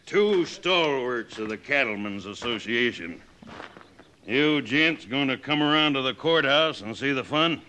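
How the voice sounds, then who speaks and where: An actor playing a blustering, bullying rancher in an old-time radio western, with a folksy, mocking, pushy drawl. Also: male voice, medium pitch, lively intonation, a little slow, sharp tone.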